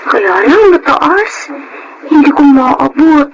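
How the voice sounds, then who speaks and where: A woman's voice: high-pitched, sing-song, slow, muffled recording, full character voice. A woman playing a timid, eager-to-please adopted girl in a Filipino radio drama, polite and hesitant. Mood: busy, self-talking. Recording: clear background noise under voice.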